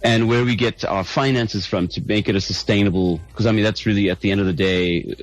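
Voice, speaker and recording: A man's voice: lowish voice, steady tone, a little fast, poor audio. A middle-aged South African man, a band's lead singer, chatting in an interview, thoughtful and hesitant with many restarts. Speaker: band lead singer, guest. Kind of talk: casual interview chat.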